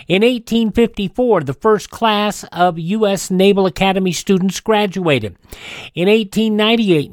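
A man's voice: high voice, no room sound, clean studio sound. A man narrating as a radio host, reading scripted historical notes.

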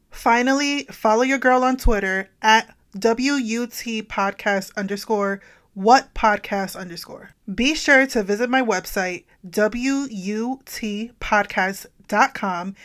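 A woman's voice: fairly high pitch, a bit bright. A young woman hosting her own podcast, talking in a bubbly, blunt way with casual swearing. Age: young adult. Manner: bubbly, blunt, uses profanity. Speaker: podcast host.

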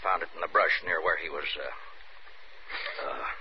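An actor in a 1950s radio drama playing an awkward, kindly sheriff's officer who speaks haltingly and apologetically. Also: male voice, deep voice, lightly acted. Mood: halting, uneasy. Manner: halting, fumbling, soothing. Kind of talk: 1950s radio naturalistic acting. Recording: muffled, noisy 1950s radio recording.

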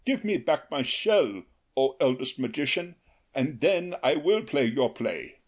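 A huge, stubborn male sea crab from a storybook, as a woman reading aloud puts him on. He drawls slowly in a husky voice that swoops up and down, a little higher than a plain man. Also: sing-song, a little mellow, heavily acted. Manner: slow, stubborn, bargaining.

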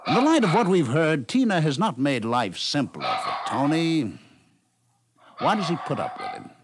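A man's voice: fairly high pitch, huge pitch swings, normal speed, noisy background. An American man reading scripted narration in the manner of a 1950s radio documentary narrator.

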